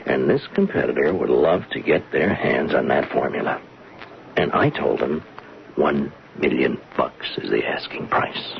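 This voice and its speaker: Sly, very deep-voiced middle-aged American schemer. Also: male voice, animated, a little slow, gravelly, a little hiss or hum, heavily acted. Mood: smug, scheming. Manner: chuckling, sly, self-assured. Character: smug, persuasive 47-year-old fired adman turned thief. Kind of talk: old-time radio drama acting. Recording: muffled radio broadcast recording with music.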